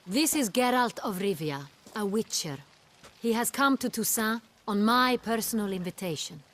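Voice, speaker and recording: An actress playing a cool, commanding duchess in a video game, speaking with formal, measured, regal authority. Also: female voice, mid-range voice, expressive, a bit bright, natural voice. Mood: cool, gracious. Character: imperious female duchess, cool, exacting and commanding.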